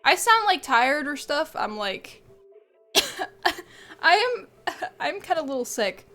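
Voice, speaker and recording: A woman's voice: high voice, sing-song, unhurried, sharp tone, faint music behind. Stuffed-up woman rambling on a stream. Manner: rambling, chatty, laughs often. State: stuffed up from a cold and allergies. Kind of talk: casual livestream chat with viewers.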